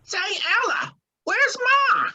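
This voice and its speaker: A woman's voice: extremely high pitch, expressive, slow, sharp tone, pitched above the performer's own voice, exaggerated voice. A woman voicing a naive, easygoing mama's-boy husband with exaggerated drawling delivery in an old-time radio drama. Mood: carefree cheer.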